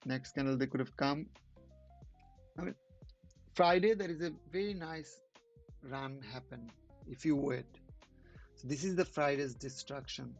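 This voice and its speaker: A man whose first language is not English, hosting a trading channel and commenting casually on live charts in halting speech with many restarts. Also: mid-range voice, vocal fry, lo-fi sound, soft background music.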